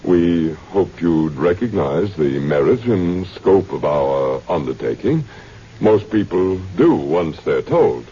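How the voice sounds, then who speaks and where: An actor playing a suave, learned older scientist in a radio drama, formal, precise and smoothly condescending. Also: male voice, low voice, very animated, takes it slowly, mellow, heavily acted. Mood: smooth, courteous. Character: suave, learned old male scientist running a grand project. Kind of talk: old-time radio drama acting. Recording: muffled, noisy vintage radio recording.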